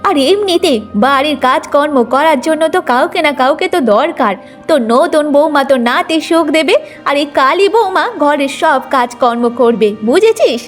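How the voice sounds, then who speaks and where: Woman voicing a high-pitched meddling village grandmother. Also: expressive, normal speed, faint music behind. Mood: meddling, persuasive. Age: older. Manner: boastful, persuasive, meddling. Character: meddling gossipy older village woman, boastful new grandmother. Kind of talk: Bengali moral-story cartoon acting.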